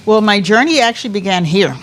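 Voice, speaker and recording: A woman in her fifties who was born in Cuba, a pageant director sharing her journey as a guest. Her voice sits at a middling pitch, and she talks in a lively way with frequent laughs and many self-corrections. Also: brisk. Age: 50s. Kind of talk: interview storytelling.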